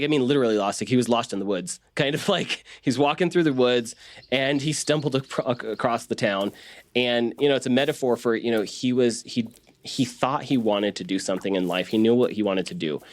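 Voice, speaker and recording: A man talking casually in an interview as a voice actor, halting and repeating words as he explains. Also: medium pitch, dry recording.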